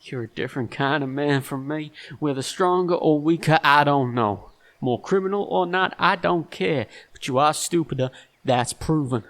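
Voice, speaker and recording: Stern, deliberate man an actor performs him, highish voice. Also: lightly acted. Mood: scornful. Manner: deliberate, accusatory, emphatic. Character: stern, shrewd, self-righteous man confronting a thief. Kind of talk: audio stage-drama acting.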